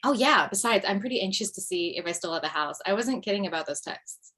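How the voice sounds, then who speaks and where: A woman's voice: medium pitch, animated, fast talker, pushed and bright. A woman playing an overwhelmed, bossy widowed mother with a dry wit in a comedy table read over video call. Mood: friendly, wry.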